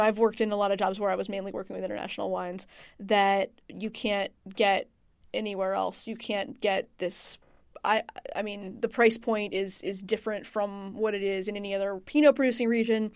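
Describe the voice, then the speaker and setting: A woman's voice: medium pitch, clear, resonant tone, dry recording, studio quality. A woman answering as an interview guest in a casual, chatty, rambling way, with plenty of "like" and "you know". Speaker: interview guest, wine region marketing manager.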